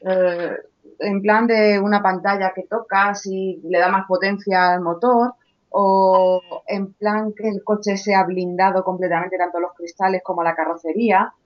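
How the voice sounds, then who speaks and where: A woman giving her opinions in a casual discussion in a chatty, relaxed way. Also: medium pitch, measured pace. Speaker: guest. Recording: muffled lo-fi audio.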